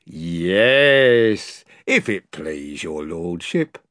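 Oily crook of a pirate slaver, bowing and scraping, read by a man, slow, dry booth sound. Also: deep voice, very animated, fairly bright tone, dry recording, voice pushed down, full character voice. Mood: wheedling. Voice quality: oily, wheedling, common speech. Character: wheedling, fawning male pirate slave dealer.

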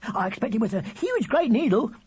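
A man voicing an excitable, eager small boy with a squeaky, piercing voice in a storybook reading. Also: moderate pace, a little hiss or hum. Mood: proud, happy. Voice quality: shrill, squeaky. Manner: endless eager questions, proud.

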